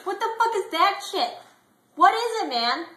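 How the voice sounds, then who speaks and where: Woman playing an agitated, high-pitched insecure bulldog. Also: very animated, measured pace, pushed and bright, echoey room, full character voice. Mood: frustrated, ranting. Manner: ranting, profane, emphatic. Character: upset, foul-mouthed insecure male bulldog venting to his friend.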